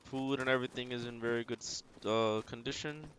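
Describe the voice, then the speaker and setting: A man giving casual, low-key video game commentary, thinking aloud as he plays. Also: steady tone, brisk, fairly bright tone, a little hiss or hum, rough recording. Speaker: game streamer.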